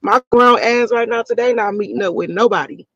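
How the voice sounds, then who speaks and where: A woman's voice: a little high, fairly quick, fairly bright tone, no room sound, highs cut off. A woman of thirty chatting casually on a livestream panel, blunt, slangy and profane. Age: 30s. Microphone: streaming call.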